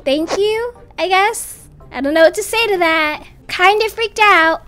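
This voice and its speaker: A woman's voice: very high voice, unhurried, sharp tone, soft background music, heavily acted. A young woman voicing a shy, wary nerdy schoolgirl in a game story, hesitant and slangy. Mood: baffled, wary. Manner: hesitant, baffled teen slang.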